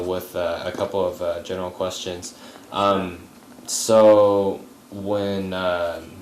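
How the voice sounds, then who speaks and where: Guy interviewer, pretty deep voice, very halting with lots of um and uh, roomy echo. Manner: halting, hesitant, heavy on um and uh. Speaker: oral history interviewer. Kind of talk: interviewing with simple questions. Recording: echoey room with faint noise.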